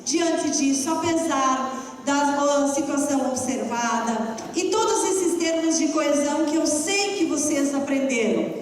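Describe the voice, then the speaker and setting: A woman's voice: high voice, a little slow, distant mic. A woman teacher with a southern Brazilian accent, lecturing a class directly and teasingly. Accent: southern Brazilian (Rio Grande do Sul). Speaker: essay-writing teacher. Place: large classroom. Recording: echoey hall recording from afar with faint hum.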